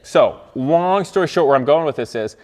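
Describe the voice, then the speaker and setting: A man's voice: a little high, animated, moderate pace, darkish tone. A man hosting a car-building video, explaining the work casually and hands-on, with rambling colloquial asides. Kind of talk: casual explanatory talk to camera and camera operator. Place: car workshop. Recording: echoey hall-like workshop reverb.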